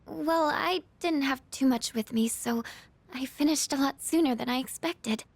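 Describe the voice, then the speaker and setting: Very high-voiced, earnest teenage girl. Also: normal speed, gravelly, dry recording. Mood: polite. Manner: polite, gentle, careful. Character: shy, earnest, kind teenage schoolgirl. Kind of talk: anime-style video game voice acting.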